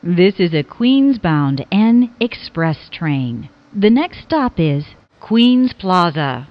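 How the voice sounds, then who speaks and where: A woman reading scripted subway announcements as a transit announcer, formally and in separate, clearly spoken phrases. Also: lowish voice, very animated, slow, no room sound, highs cut off. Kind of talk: scripted subway train announcement, pieced from clips.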